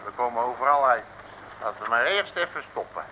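An actor playing a brash, bluffing, jovial detective with chummy, boastful patter in a mid-century comic radio serial. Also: male voice, mid-range voice, expressive, brisk, natural voice. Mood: smug, amused. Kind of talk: 1950s-60s comic radio serial acting. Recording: hissy vintage radio recording.

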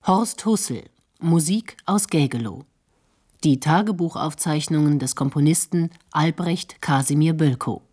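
A woman with a very low, mellow voice works as a radio announcer. She reads out a formal introduction to a radio play. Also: a little slow.

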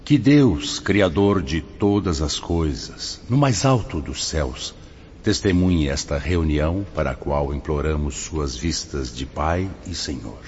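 A man's voice: deep voice, a little mellow. A Brazilian man acting in a radio drama as a solemn, authoritative spirit mentor, declaiming like a preacher. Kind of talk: radio drama acting, oratorical sermon-like address. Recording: muffled, noisy, long hall reverb.

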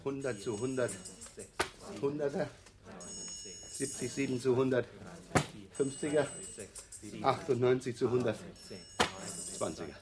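Ein Schauspieler spielt in einem Hörspiel einen pflichtbewussten, verliebten Bankangestellten, nüchtern und belehrend. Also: male voice, mid-range voice, unhurried, pauses often, over music, lightly acted. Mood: matter-of-fact. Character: dutiful, lovestruck male bank clerk, earnest and pedantic. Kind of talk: radio play acting.

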